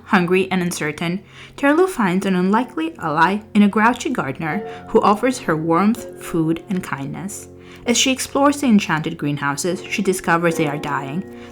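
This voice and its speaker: A woman with a fairly low voice, a native Albanian speaker, reviewing her month's books in a relaxed way. She moves between loose chat with the odd "um" and smoother read-out plot summaries, with soft music playing underneath. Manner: conversational, with fillers and restarts, partly read-out summaries. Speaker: book vlogger. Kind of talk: casual book review over journaling.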